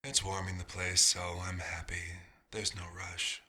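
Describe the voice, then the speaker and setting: A very deep, husky centuries-old vampire doctor acted by a man, musing in a soft ASMR monologue. He speaks very slowly with halting pauses. His tone is wistful and dryly fatherly, held in a narrow, even line. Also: full character voice. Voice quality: husky, breathy.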